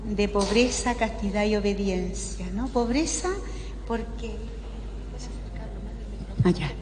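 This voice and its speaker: A woman's voice: medium pitch, slow pace. Older Chilean woman preaching in an echoey hall. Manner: conversational, checking in with frequent tag questions. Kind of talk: informal spoken sermon to a live audience. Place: large indoor parish hall. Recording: echoey hall with clear background noise.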